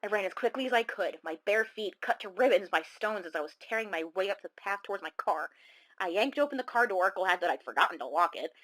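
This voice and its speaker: A woman's voice: medium pitch, lively intonation, brisk, a bit bright, natural voice, low-quality recording. Woman acting a shaken female horror-story caller. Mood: fearful. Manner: dramatic, suspenseful, confiding. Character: shaken young woman caller recounting a monster encounter. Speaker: caller telling her story. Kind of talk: first-person horror storytelling, radio show audio drama.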